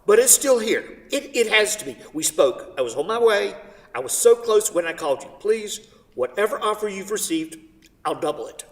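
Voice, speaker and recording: An eager gentleman buyer, acted by a man, pleads with formal insistence in a staged play reading. The voice sits slightly high. It comes from some way off in a ringing theatre hall. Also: very animated, moderate pace. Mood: pleading. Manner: formal, insistent, repeating pleas. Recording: reverberant theatre hall, distant mic.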